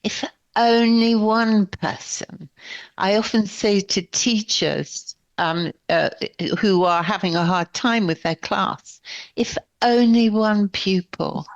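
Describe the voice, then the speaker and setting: A woman's voice: medium pitch, takes it slowly, dry recording. An older woman hosting a podcast interview, affirming her guest and asking questions, on a slightly dull, dry recording. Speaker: podcast host, psychotherapist coach.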